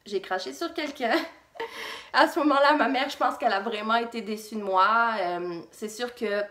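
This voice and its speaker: A woman's voice: a little high, moderate pace, bright, forceful tone, roomy sound. A young woman from Réunion with an accent that mixes French and Québécois, telling a personal story to camera in a casual, hesitant way. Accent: French mixed with Québécois. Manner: conversational, frequent hesitations. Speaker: vlogger.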